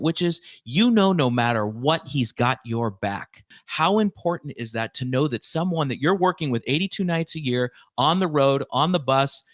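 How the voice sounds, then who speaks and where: A man's voice: a little high, a bit bright, no echo, studio quality. A man hosting a podcast, asking wordy, affirming interview questions in an enthusiastic conversational manner.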